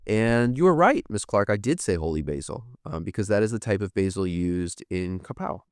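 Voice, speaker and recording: A man's voice: on the low side, animated, moderate pace, clean tone, no room sound, studio quality. A man in his thirties from the United States hosting a podcast, chatting casually and rambling, swearing offhand as he reads out viewer comments. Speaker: podcast host. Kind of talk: casual livestream chat reading viewer comments.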